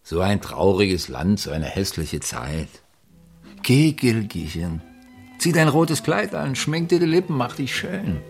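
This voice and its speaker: A man's voice: lowish voice, sing-song, slow pace, vocal fry, heavily acted. An actor playing a carefree, charming bohemian writer in a radio drama, coaxing his lover and easily piqued. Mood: wistful, coaxing. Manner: coaxing, pet-naming, theatrical. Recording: music behind.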